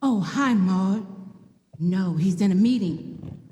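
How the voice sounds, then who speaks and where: A woman's voice: mid-range voice, expressive, takes it slowly, warm tone. A woman playing a gossipy, wry, fretful office receptionist in a live radio play. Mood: gossipy, uneasy. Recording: echoey reverberant hall, faint noise.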